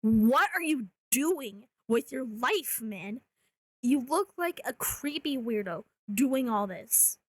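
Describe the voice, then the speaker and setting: High-pitched, animated woman, sarcastic rant. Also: slow. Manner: sarcastic, blunt, swearing. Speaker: video host, commentary creator. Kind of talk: rant commentary.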